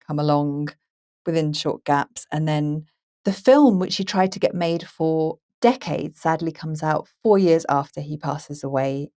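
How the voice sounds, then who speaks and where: A woman hosting a conversational film podcast, chatty and animated in the way she tells things. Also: deep voice, a little slow. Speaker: podcast host and moderator.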